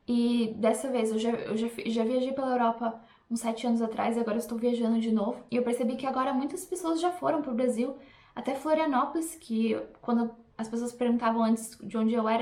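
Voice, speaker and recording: A woman's voice: a little high, quick pace, darkish tone, echoey room. A young Brazilian woman vlogger with a Brazilian Portuguese accent, chatting reflectively and directly to her viewers. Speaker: language teacher vlogger. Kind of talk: casual talk to camera.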